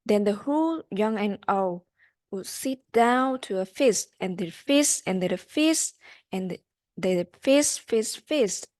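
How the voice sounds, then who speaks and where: Slow, halting young woman reading a storybook. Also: mid-range voice, animated, a little mellow, dry recording, studio quality. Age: young adult. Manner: halting, repeats words and restarts. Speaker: student reading aloud. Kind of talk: storybook read-aloud.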